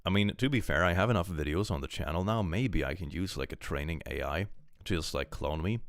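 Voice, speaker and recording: A young man streaming live with a powerful voice, reading posts aloud and chatting casually, often jumping into excited exclamations. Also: a little fast, dry recording, studio quality. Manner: animated, jumps into high excited exclamations.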